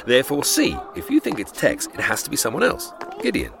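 A man's voice: mid-range voice, huge pitch swings, a little fast, gravelly. A man playing a suave, teasing, unruffled leading man in a romantic radio mystery. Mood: teasing, exasperated. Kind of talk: radio drama acting.

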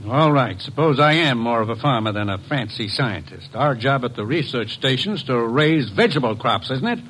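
Male, medium pitch, playing guarded plain-talking farm manager in radio mystery; faint noise on old recording. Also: lively intonation, moderate pace, fairly bright tone, close to an everyday voice. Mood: indignant. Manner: guarded, plain-spoken, indignant when pressed. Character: defensive male farm station manager under questioning. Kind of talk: old-time radio drama acting. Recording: slightly noisy vintage radio recording.

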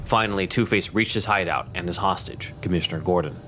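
A man narrating an audio drama in a steady storytelling delivery. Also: low voice, quick pace, faint music behind.

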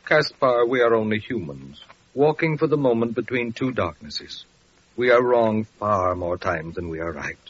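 A man's voice: on the low side, animated, normal speed, lightly acted. An actor playing a grave, resigned wise man, solemn and philosophical, in an old radio drama. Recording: muffled vintage radio, faint noise.

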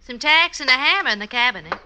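An actress playing a sweet, devoted wife of an outlaw in a classic radio western, with a fond, folksy manner. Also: female voice, high-pitched, animated, fast, noisy background. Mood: tender.

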